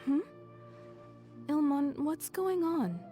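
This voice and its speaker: A woman playing a tender, wistful mother in a video game, with a soft and soothing manner. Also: high voice, big pitch swings, slow, faint music behind, full character voice. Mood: puzzled. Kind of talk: scripted game voice acting.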